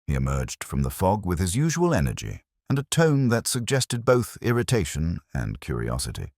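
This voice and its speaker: A man reading a scripted first-person mystery story as an audiobook narrator, in a measured, literary delivery. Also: deep voice, wildly swooping pitch, a little mellow, no room sound, clean studio sound.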